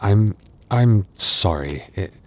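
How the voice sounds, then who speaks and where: A man playing a gentle, anxious young werewolf boyfriend in an intimate audio roleplay, speaking softly and haltingly. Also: on the low side, expressive. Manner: intimate, hesitant, soft-hearted, halting.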